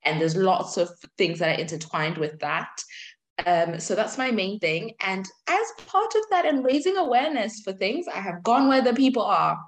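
A woman in her twenties from Zimbabwe, a guest on a podcast, answering thoughtfully with reflective pauses. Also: mid-range voice, lively intonation. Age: 20s. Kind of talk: conversational interview answers.

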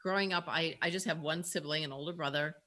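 A woman's voice: low voice, steady tone, fast talker, bright, forceful tone. An American woman from Wisconsin, talking casually as a guest expert in a podcast interview. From Milwaukee, Wisconsin, US.

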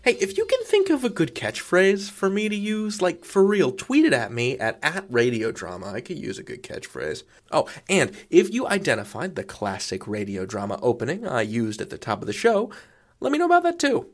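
A man's voice: fairly high pitch, wildly swooping pitch, moderate pace. A man hosting a podcast in a chatty, informal, jokey style with quirky, self-deprecating asides. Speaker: podcast host. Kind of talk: chatty scripted podcast outro. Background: faint music.

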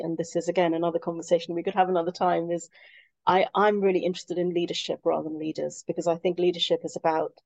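Casting note: woman guest, gravelly low voice, reflective and hesitant, dry close sound. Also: a little mellow, no room sound, highs cut off. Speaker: guest consultant. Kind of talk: conversational interview.

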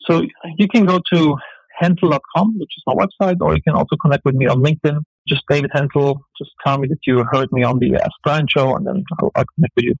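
A man's voice: fairly high pitch, gravelly, husky, no room sound, poor audio. A man with a German accent, a business guest on a radio talk show, answering in an earnest, filler-filled way. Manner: earnest, fast, full of fillers. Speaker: entrepreneur guest.

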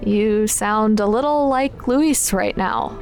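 A woman playing a careful, gently skeptical friend in an audio drama, asking questions in a measured, tentative way. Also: medium pitch, faint music behind. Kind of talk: naturalistic audio drama acting.

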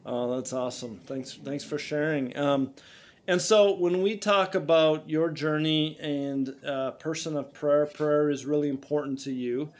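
A man with a somewhat high voice hosts a podcast, chatty and friendly. He often stumbles and doubles back on his words as he asks questions. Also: a little slow, a little hiss or hum. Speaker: podcast host. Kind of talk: podcast interview.

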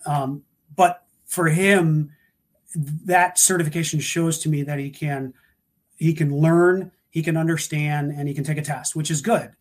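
A man talking through career advice in a relaxed, thoughtful way, with frequent restarts and fillers. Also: fairly high pitch, measured pace. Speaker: guest, security practitioner. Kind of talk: casual panel talk.

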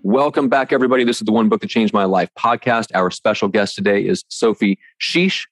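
Low-voiced male podcast host. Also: fairly quick, no echo, faint music behind. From American Midwest. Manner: friendly, rambling with fillers and restarts. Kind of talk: podcast interview.

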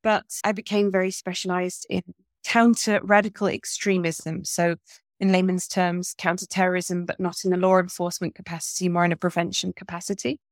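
A woman's voice: on the low side, level tone, a little fast, no echo, clean studio sound. A middle-aged woman from England, a guest in a podcast interview, talking in a chatty, thoughtful way with many fillers and restarts.

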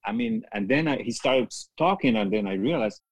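Animated middle-aged male musician, dry sound. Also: mid-range voice, normal speed, no echo. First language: not English. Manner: animated storyteller, acts out quoted voices, laughs often. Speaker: guest musician, keyboard player. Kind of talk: casual storytelling interview.

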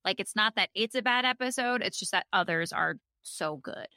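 Bright-voiced woman podcast co-host. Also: mid-range voice, no room sound, studio quality. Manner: thoughtful, measured, with many fillers. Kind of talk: casual chat about a TV episode.

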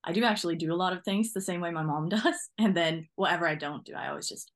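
Young woman from Norway, interview guest, slightly low voice, relaxed chat with frequent laughs. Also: fast. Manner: friendly, laughs often while talking. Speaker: newly qualified driving instructor, guest.

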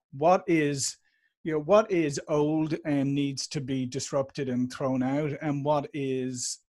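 A man in his forties with a Dublin Irish accent, chatting as a podcast guest over a remote call, animated and often restarting his sentences. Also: medium pitch. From Ireland. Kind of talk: conversational interview.

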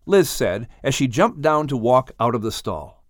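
Animated male storybook narrator. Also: mid-range voice, moderate pace, dry recording, studio quality. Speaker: audiobook narrator.

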